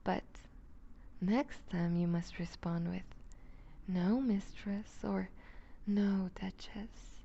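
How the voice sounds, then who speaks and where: A woman playing a seductive, possessive vampire duchess in an ASMR roleplay, speaking with deliberate, teasing condescension and breaking into whispers. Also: mid-range voice, steady tone, gravelly, warm tone, dull audio, exaggerated voice. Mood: possessive, commanding. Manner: slow, deliberate, purring condescension with whispered phrases. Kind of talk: ASMR roleplay audio drama.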